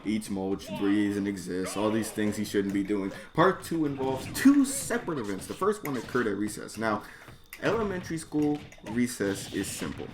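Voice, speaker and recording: A man's voice: fairly low pitch, expressive, moderate pace, a crowd in the background. A man reading his own comedic story aloud in a slangy, profane, exasperated way. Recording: noisy background, mouse or mic clicks.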